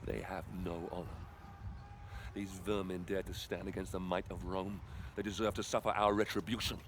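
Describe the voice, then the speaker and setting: A man's voice: low-pitched, little pitch movement, moderate pace, natural voice. A man playing a grim, loyal Roman centurion, who speaks in a clipped and dutiful way and narrates the march. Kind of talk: video game narration and dialogue. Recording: reverberant and noisy.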